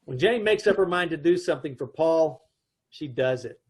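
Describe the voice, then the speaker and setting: A man's voice: a little high, lively intonation, moderate pace, husky. A man narrating and playing a dry, joking husband in an old-time radio comedy recreation. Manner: dry wry delivery with chuckles. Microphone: home mic on video call.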